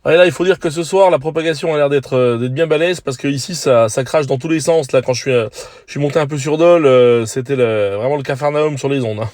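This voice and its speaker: A man talking casually as an amateur radio operator, in a measured, technical way with hesitant pauses. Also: medium pitch, darkish tone, dry recording, clean studio sound. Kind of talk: casual two-way radio chat. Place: on a hilltop in the countryside.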